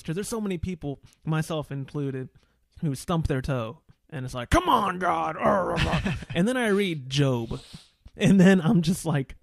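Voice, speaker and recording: A man's voice: fairly high pitch, gravelly, no echo, studio quality. A man hosting a podcast interview in a casual, encouraging, conversational way. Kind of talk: casual, supportive interview chat.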